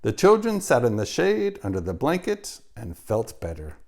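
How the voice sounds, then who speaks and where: A man, a teacher, reading a children's chapter book aloud with expressive storytelling. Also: mid-range voice, normal speed, gravelly.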